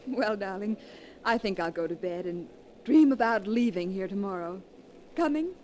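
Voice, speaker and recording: An actress playing an affectionate but uneasy wife, earnest and refined, in a 1940s radio drama. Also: female voice, medium pitch, lively intonation, moderate pace, darkish tone, close to an everyday voice. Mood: relieved. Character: anxious, affectionate young wife of a painter. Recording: faintly noisy 1940s radio recording.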